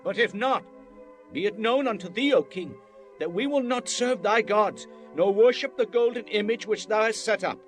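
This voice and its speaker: A man's voice: high-pitched, moderate pace, lightly acted. An actor playing a defiant, devout young captive in a dramatized scripture, declaiming resolutely to a king. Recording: music bed behind the voice.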